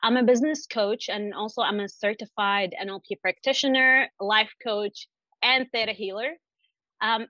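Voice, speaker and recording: A woman whose first language is Arabic, a coach guesting on a podcast, who speaks haltingly with many "like, you know" fillers. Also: medium pitch, fairly bright tone, no echo. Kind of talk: podcast interview. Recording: dry, dull lo-fi sound.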